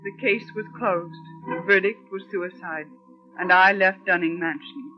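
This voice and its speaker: A woman's voice: fairly low pitch, fairly even intonation, unhurried, loud music behind the voice, natural voice. An actress playing an earnest, determined female secretary haunted by suspicion, in old-time radio mystery style. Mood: brooding. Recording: dull lo-fi old radio recording.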